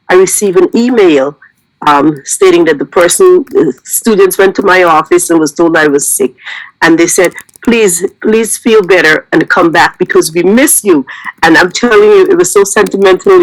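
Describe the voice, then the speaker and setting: A woman's voice: lowish voice, no room sound, poor audio. A middle-aged woman, a school counselor, speaking effusively and earnestly at a staff meeting. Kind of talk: heartfelt remarks at a staff meeting.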